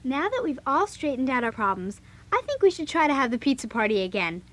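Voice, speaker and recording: A woman's voice: high voice, big pitch swings, fairly quick, fairly bright tone, full character voice. An actress playing a blunt, bossy young teenage girl who founded a club, in a children's audio drama. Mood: caring, upbeat. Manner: blunt, forthright.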